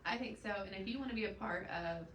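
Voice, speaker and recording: A woman with an Oklahoma accent co-hosting a casual live local news chat; she has a softer voice, sounds upbeat and enthusiastic, and has a scratchy throat from a cold. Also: medium pitch, quick pace. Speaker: city representative co-host. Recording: roomy sound, mic at a distance, faint background hum.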